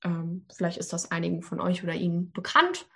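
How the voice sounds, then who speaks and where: A woman's voice: fairly low pitch, lively intonation, a little fast, fairly bright tone. A nineteen-year-old woman from Hamburg, a student joining a podcast remotely, talking chattily with frequent filler sounds. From Hamburg, Germany. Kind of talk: casual podcast talk. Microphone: remote call.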